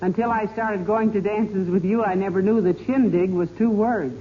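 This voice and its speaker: Actress as wry wife, noisy old radio. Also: female voice, medium pitch, normal speed, close to an everyday voice. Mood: dry, affectionate. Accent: American. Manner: dry, gently chiding, affectionate. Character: sensible, wry, long-suffering wife. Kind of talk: 1940s radio comedy acting. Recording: dull, noisy 1940s radio recording.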